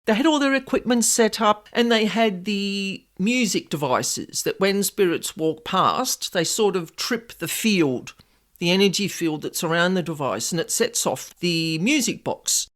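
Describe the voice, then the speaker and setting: Loud, lively woman. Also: mid-range voice, measured pace. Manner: extravagant, animated, easily sidetracked. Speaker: podcast host, paranormal investigator. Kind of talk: casual storytelling podcast.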